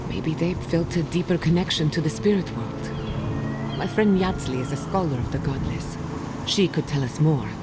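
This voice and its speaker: A woman's voice: low voice, normal speed, close to an everyday voice. A woman playing a confident, wry, adventurous scholar and guide in a video game, explaining things articulately. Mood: eager, wry. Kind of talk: scripted video game acting. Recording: reverberant hall under loud music.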